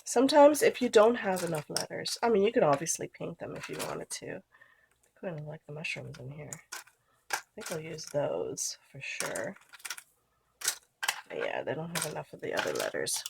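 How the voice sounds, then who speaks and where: Woman, nasal from a cold, gravelly low voice, casual crafting chat, faint tool clicks. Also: normal speed, heavy background noise. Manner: relaxed, chatty, trails off while working. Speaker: scrapbooking vlogger.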